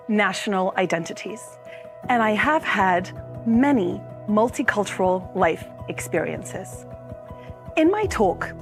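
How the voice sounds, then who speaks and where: A woman speaker, a native Russian speaker, giving a prepared stage talk in a playful, expressive manner with rhetorical questions. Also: mid-range voice. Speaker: conference speaker. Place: lecture hall stage. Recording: reverberant hall with faint music.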